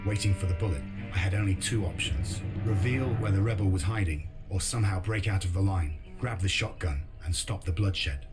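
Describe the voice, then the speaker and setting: A grave resistance veteran in a game story , played by a male actor. He speaks in a low, sober voice, resolute yet reluctant, with music clearly playing behind him. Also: natural voice. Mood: grave, torn. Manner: sober, measured, serious. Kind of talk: dramatic game narration and dialogue.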